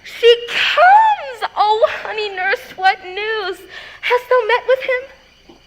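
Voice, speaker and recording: A woman's voice: extremely high pitch, slow pace, heavy background noise. A woman acting an impatient, lovestruck teenage girl in Shakespearean verse, with theatrical, emphatic delivery. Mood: elated.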